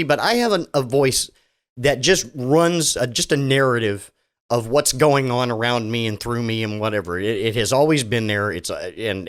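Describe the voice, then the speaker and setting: A man's voice: medium pitch, a bit bright. A middle-aged man hosting a solo podcast, talking casually and sarcastically, rambling with many restarts. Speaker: podcast host.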